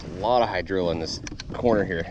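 A man's voice: low voice, fast, highs cut off, background noise. A man giving casual, laid-back vlog commentary as he fishes, recorded on an action camera outdoors in a kayak. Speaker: fishing vlogger. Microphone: body-worn action camera.

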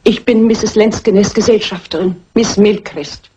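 An actress playing a timid, easily upset lady's companion in a German radio crime drama, anxious, prim and husky. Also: mid-range voice, fairly even intonation, unhurried, dark tone, muffled recording, slight background noise, lightly acted. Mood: timid, nervous.

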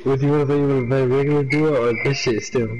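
A young man with a deep voice, chatting casually and laughing with a friend while gaming, relaxed and slangy. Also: racing, dull audio, noisy background. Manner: laid-back, slangy, laughs often. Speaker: gamer and channel owner. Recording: game music playing underneath.

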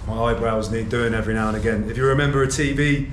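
A man's voice: medium pitch, fairly even intonation, fast talker. A man in his thirties from England with an English accent, chatting upbeat to a handheld camera as a travel vlogger, often laughing. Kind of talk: casual travel vlog chat. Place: small street-side salon, then outdoors on a street. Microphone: handheld camera at arm's length. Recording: echoey room with clear ambient noise.

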